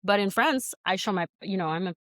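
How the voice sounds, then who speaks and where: A woman's voice: medium pitch, brisk, no room sound. Chatty American woman, dry sound. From United States. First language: Spanish. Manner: chatty and animated, many likes and you-knows. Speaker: guest, studio founder and CEO. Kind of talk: casual conversational interview.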